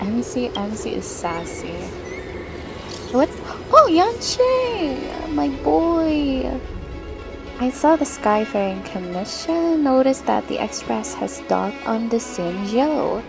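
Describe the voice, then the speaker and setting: A woman's voice: sits high, sing-song, takes it slowly, vocal fry, rough recording. A woman livestreaming a story game, reacting casually with playful, gushing comments over game music.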